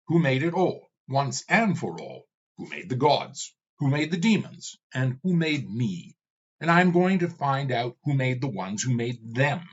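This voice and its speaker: A man voicing a weary, raging, all-seeing male demon king who speaks slowly and vehemently, in a dull lo-fi recording. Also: mid-range voice, a bit bright, breathy edge, heavily acted.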